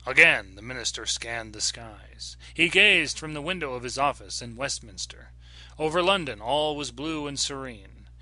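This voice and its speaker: A male narrator reads fiction with solemn, deliberate drama. His voice is very bright and forceful, at a middle pitch, and the sound is close and dry. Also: lively intonation, dry recording, low-quality recording. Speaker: audiobook narrator.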